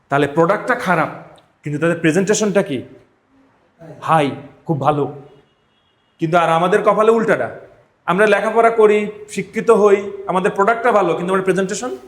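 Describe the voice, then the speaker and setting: A man with a Bangladeshi accent teaching a live class, emphatic, repeating phrases and checking that students follow. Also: a little high. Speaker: spoken English teacher. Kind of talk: live classroom instruction with drills. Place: classroom, indoors. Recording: echoey room.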